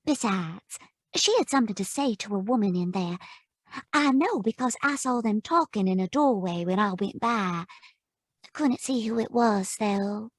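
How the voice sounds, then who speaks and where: An actress playing a friendly, gossipy woman informant with a husky voice in a detective audio drama. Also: medium pitch, expressive, normal speed, dry recording, studio quality, lightly acted. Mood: eager, gossipy.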